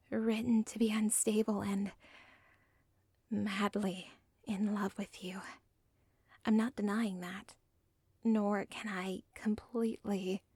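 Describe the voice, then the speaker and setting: Actress for obsessive young villain's daughter; intimate whisper, slow and breathy, dead-dry mic. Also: female voice, mid-range voice, darkish tone, no room sound. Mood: urgent. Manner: intimate, pleading, self-aware. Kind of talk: ASMR audio role play.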